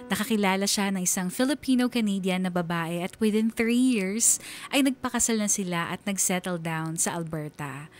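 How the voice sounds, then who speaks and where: A woman's voice: medium pitch, normal speed. A woman telling a first-person love story on radio in an emotive, confessional, dramatic style. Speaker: radio storyteller reading a listener's letter. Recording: music under the voice. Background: background music.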